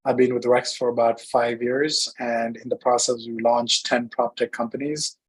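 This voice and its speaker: Husky Sri man, brisk. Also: medium pitch, level tone, rough recording. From Sri Lanka. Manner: brisk, organized, résumé-like delivery. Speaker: founding partner and chief product officer, judge. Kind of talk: self-introduction at an online pitch event.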